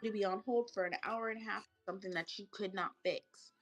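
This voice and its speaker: Woman streamer, animated storyteller, pitches her voice up from a deeper natural tone. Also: fairly quick. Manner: animated venting, acts out quoted voices. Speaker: stream co-host. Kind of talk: casual chat. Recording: music playing behind.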